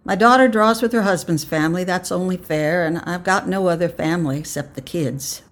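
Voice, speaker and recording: A woman's voice: fairly low pitch, a little fast, lightly acted. A woman voicing a resigned village husband and father in a story reading, curt and regretful in manner. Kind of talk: storybook character voice in audiobook narration.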